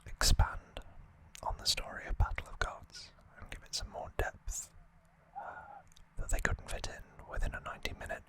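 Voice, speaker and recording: An ASMR host whispering a trivia summary in a breathy, unvoiced, hushed and measured way. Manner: slow, hushed, measured delivery.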